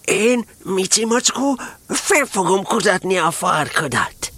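A kindly, simple-hearted bear from a children's storybook. The voice is pitched high and crackly, swinging widely up and down in a dry, close sound. The manner is earnest and eager to help. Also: male voice, animated, bright, forceful tone, slightly rough, dry recording, exaggerated voice. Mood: solemn, determined.

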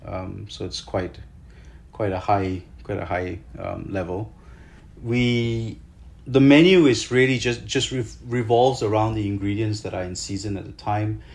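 Male chef as interview guest, Malaysian accent, lowish pitch, explanatory and somewhat halting delivery. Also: steady tone, gravelly, a little mellow. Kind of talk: conversational interview answers.

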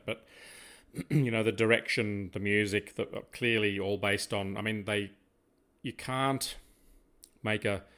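A man co-hosting a conversational interview, speaking in a halting, emphatic and admiring way. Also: on the low side, fairly bright tone. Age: middle-aged or older. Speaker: podcast co-host.

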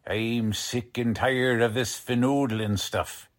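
A man playing a gruff, impatient, bullying 1940s police lieutenant in radio drama style, with slangy tough-cop talk. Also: medium pitch, fairly even intonation, slow pace, lightly acted. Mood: accusing, impatient.

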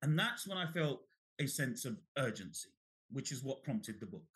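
A middle-aged man from Bangladesh, a guest author in an interview, telling his story in an animated way, often restarting and repeating words. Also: medium pitch, normal speed.

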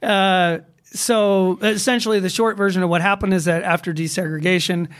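A man with a high-pitched voice co-hosts a casual talk show. He recaps news stories in a loose, meandering way, full of fillers and restarts. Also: steady tone, moderate pace, fairly bright tone. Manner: rambling with many fillers and restarts. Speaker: podcast co-host. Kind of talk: casual chat.

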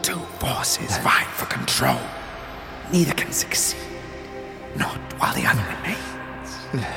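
A man voicing a menacing spectral hunter in an audio drama, with a breathy, hissing whisper and slow, commanding delivery. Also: mid-range voice, big pitch swings, music in the background, full character voice. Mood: cold, menacing. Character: menacing spectral hunter, a hungry phantom of haze.

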